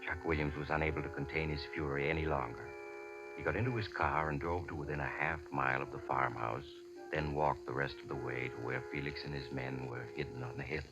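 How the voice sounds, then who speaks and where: Very deep, ominous radio narrator. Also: male voice, steady tone, rough recording. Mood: ominous, grave. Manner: measured, ominous, theatrical. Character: mysterious male storyteller of suspense tales. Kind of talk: 1940s radio drama narration. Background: music.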